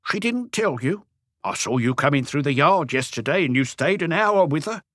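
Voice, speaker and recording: A man's voice: fairly high pitch, sing-song, normal speed, fairly bright tone, no echo, studio quality, pitched above the performer's own voice. A man voicing a wry, evasive old house painter with colloquial speech, in an audiobook story. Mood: knowing, skeptical. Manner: colloquial, evasive, answers questions with questions. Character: cagey old Parisian workman, stocky house painter, wry.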